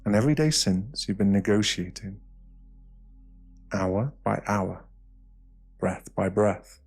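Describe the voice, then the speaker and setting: A British man narrating an ASMR guided meditation in a slow, hushed, soothing way, with short phrases and pauses. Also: lowish voice, vocal fry, slightly rough, soft background music.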